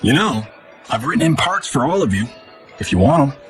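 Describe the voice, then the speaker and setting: Guy doing breezy hollywood star type, cocky, swoopy animated voice, light music behind, game cutscene. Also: mid-range voice, fairly quick, lightly acted. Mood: upbeat, joking. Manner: showy, slangy, casual. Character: cocky, breezy, good-natured male movie star. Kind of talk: video game cutscene acting.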